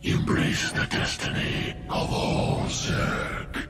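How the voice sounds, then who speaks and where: A man voicing an ancient, ominous alien creature in a video game, grave and portentous, with a rasping, processed voice. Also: very high voice, monotone, heavily acted. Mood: grave, urging. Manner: slow, grave, portentous pronouncements. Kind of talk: video game cutscene acting. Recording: loud music behind.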